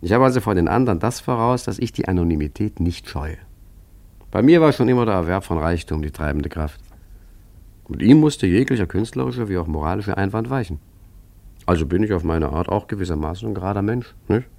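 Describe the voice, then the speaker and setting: Low-voiced, smug art forger. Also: male voice, animated, a little fast, creaky, a little mellow. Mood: smug, confiding. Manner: chatty, smug, tag questions and asides. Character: urbane, boastful, shameless male art forger reminiscing. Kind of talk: radio drama monologue, conversational storytelling.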